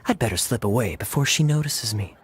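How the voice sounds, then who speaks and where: A man's voice: medium pitch, lively intonation, slight background noise, lightly acted. Suave, sly knight captain, acted by a man. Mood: coy. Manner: teasing, insinuating, theatrical pauses. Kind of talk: scripted video game dialogue.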